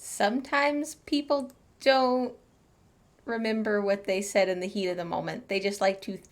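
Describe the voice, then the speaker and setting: A woman's voice: medium pitch, steady tone, normal speed. A woman hosting a gameplay video with casual, chatty commentary and wry asides. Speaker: gaming channel host. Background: game music.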